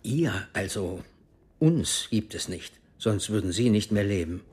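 An actor in a radio drama playing a cool, secretive intelligence officer who speaks formally and with measured control. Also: male voice, lowish voice, animated, darkish tone, husky. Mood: grave, measured. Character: cool secretive East German intelligence officer, frankly world-weary.

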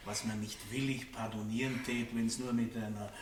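An actor playing a coarse, self-satisfied country baron in a radio play, with a Viennese accent and pompous, leering bluster. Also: male voice, mid-range voice, little pitch movement, moderate pace, lightly acted. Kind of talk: German-language radio play acting. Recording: roomy, distant, lightly noisy old radio recording.

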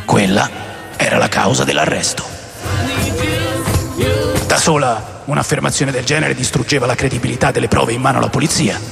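An actor playing an aging, rebellious rock musician who recounts his wild past in a deliberate, theatrical radio drama narration with a gravelly voice. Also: medium pitch. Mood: animated, indignant. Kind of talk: dramatic first-person memoir narration. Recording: long reverb over music.

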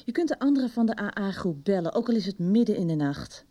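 An actress playing a patient, supportive woman in a radio drama, gentle and encouraging. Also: mid-range voice, big pitch swings, quick pace. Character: patient, supportive female helper coaxing a friend. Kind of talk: 1990s Dutch radio drama acting.

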